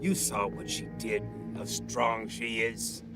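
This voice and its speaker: An actor playing a sinister, insistent ventriloquist's dummy in a TV horror drama, with a gravelly, menacing voice. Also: male voice, fairly low pitch, flat delivery, slow, over loud music. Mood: coldly insistent.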